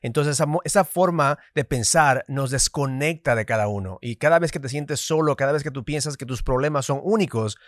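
A man with a mixed Ecuadorian and Dominican Spanish accent, co-hosting a podcast. He talks with energy, restarts words and slips in English terms. His voice is mid-pitched, recorded dry and close. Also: fairly quick, hardly any pauses, no room sound. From Ecuador, raised in the United States. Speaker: podcast co-host. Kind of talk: business podcast conversation.

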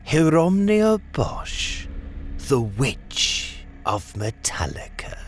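A man's voice: mid-range voice, huge pitch swings, a little hiss or hum, exaggerated voice. An actor playing a gloating, theatrical lord of a planet, drawing out his words in an audio drama. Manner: drawn-out, theatrical.

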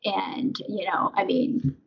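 A woman telling her life story in an interview, halting and full of fillers as she reflects. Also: mid-range voice, steady tone, vocal fry, breathy edge. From Vietnam, raised in Nebraska. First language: English; Vietnamese basic. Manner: halting, many fillers and restarts. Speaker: interview guest. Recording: dull lo-fi audio.

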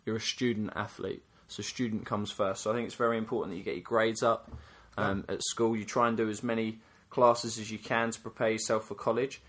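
A low-voiced man co-hosting a casual youth soccer chat. He teases his partner playfully, then settles into steady, practical explanations. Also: brisk, hardly any pauses. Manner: playful, teasing; explanatory, steady when giving advice. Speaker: youth soccer coach and podcast co-host.

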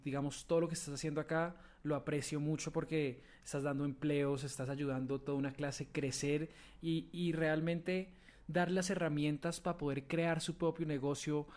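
A man's voice: fairly high pitch, darkish tone. Upbeat male podcast host. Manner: upbeat, scripted opening. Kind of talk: podcast interview.

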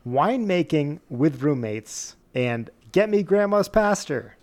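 A man's voice: fairly high pitch, expressive, takes it slowly, a little mellow. A man hosting a podcast in a casual mock awards-ceremony style, playful and mock-formal. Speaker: podcast host presenting joke awards.

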